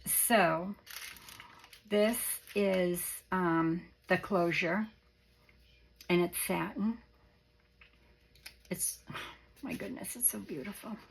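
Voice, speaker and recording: A hoarse woman showing off craft supplies in a casual show-and-tell, gushing softly and often dropping to a whisper. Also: fairly low pitch, very slow, long pauses, slight background noise, low-quality recording. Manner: soft-spoken, gushing, often drops to a whisper. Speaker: craft vlogger.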